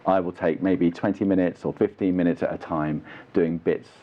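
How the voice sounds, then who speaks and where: A man's voice: low-pitched, fairly even intonation, moderate pace. A British man, an actor and drama teacher, giving earnest, explanatory answers in a conversational interview. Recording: dull, muffled lo-fi audio.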